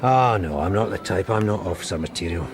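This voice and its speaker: An actor playing a cheery, down-to-earth detective sergeant in a radio drama, blokey and easygoing. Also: male voice, deep voice, expressive, fairly quick, soft background music. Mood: cocky, cheerful.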